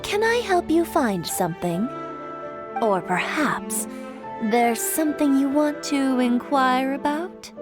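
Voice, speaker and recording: An actress playing a polite, composed young woman who keeps a grocery shop in a video game, speaking in a courteous, measured, explanatory way. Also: high-pitched, expressive. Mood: courteous, welcoming. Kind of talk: game character dialogue. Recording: music playing behind the voice.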